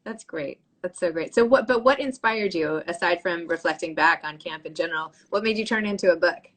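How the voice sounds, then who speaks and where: A woman's voice: on the low side, brisk, fairly bright tone. A woman hosting a book podcast, chatting casually on a video call in a self-deprecating way with lots of fillers. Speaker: podcast host. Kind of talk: casual interview chat.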